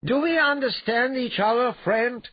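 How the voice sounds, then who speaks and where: A wily male adventurer, played by a man reading a storybook, taunts with urbane mock politeness. The voice is lifted in pitch and very lively, slightly husky and recorded dry. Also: measured pace, no echo, dull audio, full character voice. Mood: gloating.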